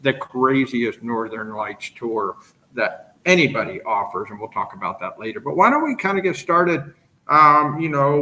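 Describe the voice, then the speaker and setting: American guy hosting a travel q&a live, bright voice, super excited, laughs a lot. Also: mid-range voice, low-quality recording. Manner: enthusiastic, laughs often, greets viewers by name. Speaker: travel agent host.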